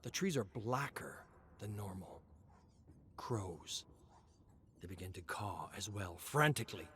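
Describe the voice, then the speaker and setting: A man reading scripted dramatic fiction in an expressive, measured storytelling style. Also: medium pitch. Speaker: narrator. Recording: clear background noise, ambience.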